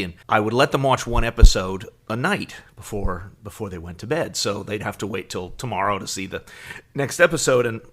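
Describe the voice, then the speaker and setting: A middle-aged man co-hosting a podcast, telling casual anecdotes in a halting, conversational way. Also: on the low side, a bit bright. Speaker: podcast co-host.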